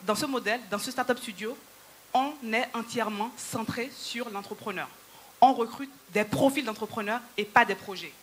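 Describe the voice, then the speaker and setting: A woman in her thirties, whose first language is Yoruba, speaks on a handheld microphone from a conference stage. Her voice is bright and sits a little high. Faint hall ambience sits behind her as she rallies the audience. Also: moderate pace, a little hiss or hum. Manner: persuasive, rallying, addresses the audience directly. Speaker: entrepreneur and speaker.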